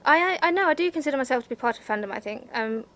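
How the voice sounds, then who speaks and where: A woman's voice: high-pitched, animated, rapid-fire, fairly bright tone. A woman in her forties giving frank, rambling interview answers. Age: 40s.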